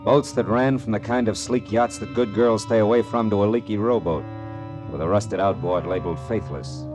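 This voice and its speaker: A tough American private detective played by a man, speaking low in terse, wry phrases. He sounds like a classic 1940s radio gumshoe, with music in the production. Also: natural voice. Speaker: lead actor and narrator. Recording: vintage radio drama with music.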